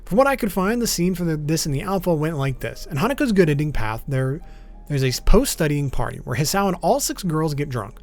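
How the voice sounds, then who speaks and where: Higher-pitched man, lively explainer narration. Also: brisk. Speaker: video host. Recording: background music under voice. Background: music.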